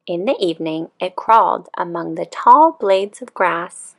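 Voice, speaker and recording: A woman reading a children's picture book aloud as a calm, expressive storyteller. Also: lowish voice, a little slow, gravelly.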